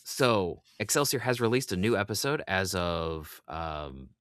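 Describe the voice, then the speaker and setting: Podcast host, male, low-pitched with lively swings, chatty and casual, recorded dry. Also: measured pace, smooth, dry recording. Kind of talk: casual fan chat.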